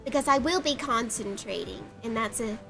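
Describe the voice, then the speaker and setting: A young woman with a lilt talks through a stuffed-up, sniffling nose in a high, bright voice. She chats casually and apologetically, laughing often, at a desk mic while faint music plays underneath. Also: moderate pace, vocal fry. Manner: giggly, chatty, apologetic. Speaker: art livestreamer. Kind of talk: casual live-stream chat. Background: faint background music.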